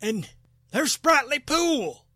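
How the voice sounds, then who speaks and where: An actress playing a nervous, folksy small-town mob member in a 1940s radio drama, with a hesitant manner. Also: female voice, high voice, big pitch swings, slow pace, a bit bright, dry recording, clean studio sound, exaggerated voice. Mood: nervous, sullen.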